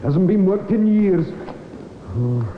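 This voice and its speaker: An actor playing an uneasy, folksy mine workman in an old-time radio drama, colloquial and expressive. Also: high voice, slow. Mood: uneasy, curious. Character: uneasy, folksy working man inspecting a mine. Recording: echoey, muffled, noisy old radio recording.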